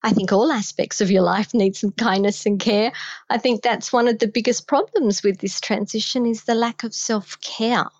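A woman's voice: a little high, fairly even intonation, moderate pace, a little mellow, no echo, highs cut off. A woman with an Australian accent, a guest on a podcast, giving emphatic, direct advice on health in midlife. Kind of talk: podcast conversation.

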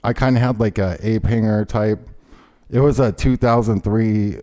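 A man's voice: fairly low pitch, fairly even intonation, normal speed, dark tone. A man hosting a casual talk show over an internet call, speaking informally with some swearing. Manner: informal, profane. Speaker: host of a talk podcast. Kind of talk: casual chat. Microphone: remote internet call.

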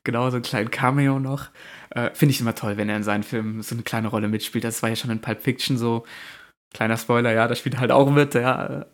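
A man's voice: mid-range voice, steady tone, fast. German guy on a movie podcast, lots of ähms, loose chatty talk. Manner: hesitant, many fillers and restarts. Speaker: podcast co-host. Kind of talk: casual film podcast chat.

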